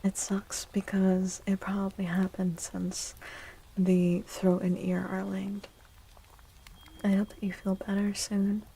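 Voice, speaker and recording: Female ASMR livestream host: hushed, mellow, breathy delivery with gentle, soothing manner and frequent whispering. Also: mid-range voice, completely flat delivery, slow, low-quality recording. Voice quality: breathy, often dropping into a whisper. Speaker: livestreamer hosting an ASMR stream. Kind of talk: soft-spoken ASMR, chatting with viewers. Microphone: close microphone.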